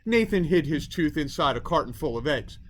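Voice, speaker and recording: Higher-voiced man reading a children's story. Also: big pitch swings, brisk. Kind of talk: expressive scripted narration.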